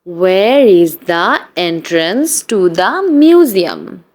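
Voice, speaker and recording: A woman reading short practice sentences aloud for learners, in an even, deliberate read-aloud style that says each sentence twice. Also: lowish voice. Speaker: English practice reader.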